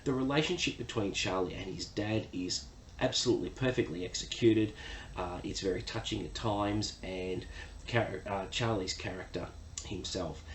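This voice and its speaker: A man getting over a cold, sounding nasal, giving a casual book review with many fillers. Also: on the low side. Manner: enthusiastic, measured, frequent uh fillers. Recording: echoey room with faint ambient noise.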